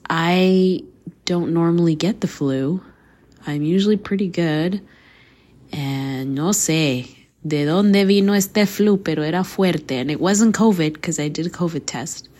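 Low slow hoarse lady hosting her faith podcast, earnest, laughs a lot, goes soft and whispery. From Canada. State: hoarse from a flu. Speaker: podcast host. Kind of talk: casual faith podcast talk and prayer.

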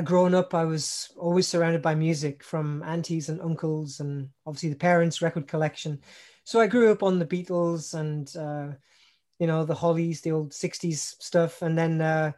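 Guy chatting on a call, laughs a lot, lots of ums. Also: a little high. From Newcastle upon Tyne, England. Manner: relaxed, laughs often, many ums and restarts. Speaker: singer-songwriter guest. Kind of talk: casual interview chat.

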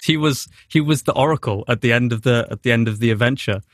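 A man's voice: mid-range voice, fast talker, dry recording, studio quality. A young man from Newport with a Welsh accent, chatting casually about acting, with many fillers and restarts. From Wales. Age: young adult. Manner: hesitant, lots of fillers and restarts. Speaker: drama graduate. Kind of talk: casual chat.

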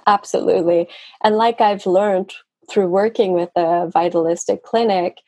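A woman's voice: lowish voice, fairly even intonation, normal speed, dark tone, no room sound. A woman, guest on a podcast interview over a video call, talking conversationally with fillers and restarts. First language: English. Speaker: guest, nutrition consultant.

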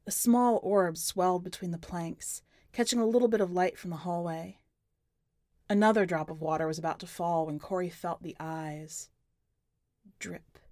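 A woman's voice: on the low side, a little mellow, no room sound, clean studio sound. A woman reading a short story aloud as scripted, dramatic narration.

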